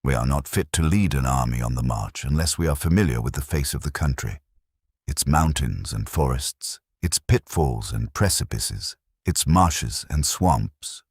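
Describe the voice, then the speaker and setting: A man narrates an audiobook in an extremely deep voice with a husky grain. His delivery is measured and solemn, yet the pitch moves noticeably through each phrase. Every statement is set down as a firm declaration. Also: expressive, no room sound, studio quality.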